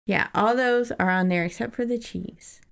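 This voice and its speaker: A woman's voice: mid-range voice, normal speed, gravelly. A woman co-host chatting casually about a book and reading bits aloud, amused and enthusiastic. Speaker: podcast co-host. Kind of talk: casual book discussion with read-aloud passages.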